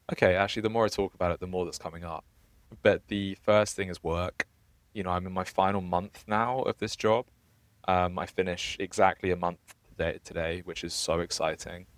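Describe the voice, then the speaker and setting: A young man with a low-pitched voice chats casually as a podcast co-host. The recording is dry and close, with very little room sound. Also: no room sound. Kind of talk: casual chat.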